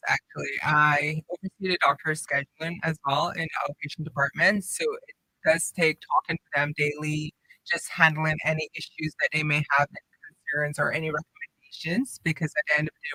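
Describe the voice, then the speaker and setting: Upbeat young woman, bright voice. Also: low-pitched, steady tone, a little fast, no room sound, rough recording. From Guyana, raised in the Cayman Islands. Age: young adult. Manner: earnest, upbeat, explains at length. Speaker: hospital operations manager, guest. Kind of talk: radio interview answers. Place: radio studio.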